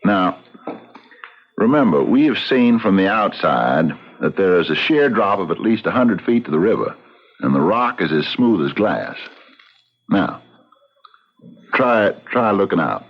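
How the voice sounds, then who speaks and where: An actor playing a shrewd, unhurried frontier sheriff in a folksy, deliberate and probing way, in the style of old-time radio drama. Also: male voice, low voice, sing-song, breathy edge, full character voice. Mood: probing, methodical. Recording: dull, noisy old radio recording.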